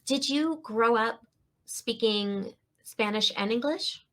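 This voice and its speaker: A woman's voice: fairly high pitch, slow, a bit bright. Enthusiastic woman podcast host. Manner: enthusiastic, effusive welcomes and praise. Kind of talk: interview hosting.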